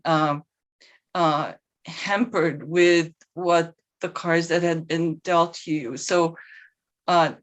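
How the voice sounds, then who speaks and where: A middle-aged woman from Korea speaks as a guest in a panel discussion. Her voice sits a little low, and she talks in a reflective, measured way. She often pauses and restarts her sentences as she gathers her thoughts. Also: fairly bright tone. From South Korea. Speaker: university president, panel guest.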